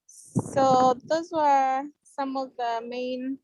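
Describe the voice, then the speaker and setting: A woman's voice: high voice, steady tone, very slow, darkish tone, no room sound, poor audio. A woman on a video call reporting reviewer comments on her articles, hesitant with frequent fillers. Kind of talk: casual meeting report.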